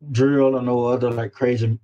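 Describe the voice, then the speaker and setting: A man with a Louisiana accent chatting casually as a guest, halting and often repeating his phrases. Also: mid-range voice, little pitch movement, dark tone, dull audio. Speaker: guest rapper. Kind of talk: casual chat.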